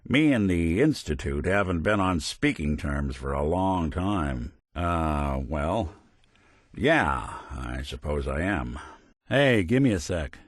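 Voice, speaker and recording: An actor playing a world-weary, wry but kindhearted android detective in a video game, with hardboiled old-fashioned slang. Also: male voice, deep voice, sing-song, slow pace, dry recording, clean studio sound, heavily acted. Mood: measured.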